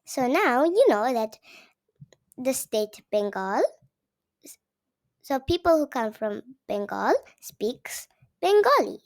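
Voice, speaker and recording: A woman's voice: a little high, very animated, takes it slowly, pauses often. A seven-year-old girl from Gujarat with an Indian accent, reading a story aloud and explaining it simply to viewers like a young teacher. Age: child, seven years old. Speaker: young channel host.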